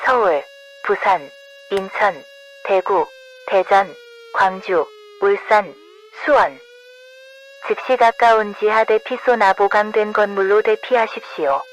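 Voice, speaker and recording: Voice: racing, pauses often, a bit bright. An emergency broadcast announcer reading a formal scripted alert. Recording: dull sound with rumbling background noise.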